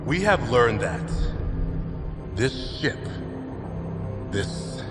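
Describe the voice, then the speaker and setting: A man's voice: medium pitch, very animated, extremely slow. A man playing a commanding clan leader who gives a declamatory, rousing speech to fellow rulers. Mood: grave. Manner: declamatory, swelling to shouts. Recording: echoey hall with loud music. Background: loud music.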